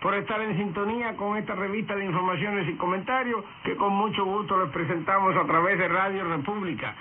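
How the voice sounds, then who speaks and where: A man's voice: high-pitched, a little fast, a little hiss or hum. A man hosting a radio news program, addressing listeners in a scripted presenter's style. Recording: tinny shortwave radio broadcast with music behind. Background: music.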